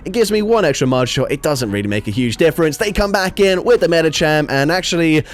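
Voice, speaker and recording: A young man with a sore throat giving brisk play-by-play commentary on his own online game battles. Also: on the high side, expressive. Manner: brisk play-by-play with frequent fillers. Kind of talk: gameplay commentary.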